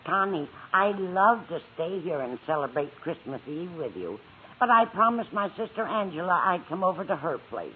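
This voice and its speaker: A woman's voice: lowish voice, big pitch swings, moderate pace. An actress playing a kindly, chatty, motherly older landlady in a 1950s radio sitcom. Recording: tinny, hissy old radio broadcast.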